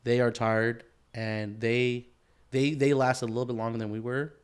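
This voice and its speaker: A man's voice: mid-range voice, flat delivery, moderate pace, clean tone. A young man co-hosting a podcast, talking casually and rambling with lots of filler words and swearing. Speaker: podcast co-host. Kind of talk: casual chat.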